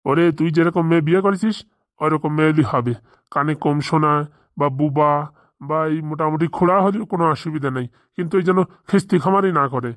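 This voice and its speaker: A man voicing an eager, bossy village gentleman in a comic cartoon, rambling in folksy dialect. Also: fairly high pitch, a little fast, no echo, clean studio sound, lightly acted. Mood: insistent. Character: eager marriage-hungry village gentleman, bossy boss to two helpers. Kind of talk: comic Bengali cartoon dialogue.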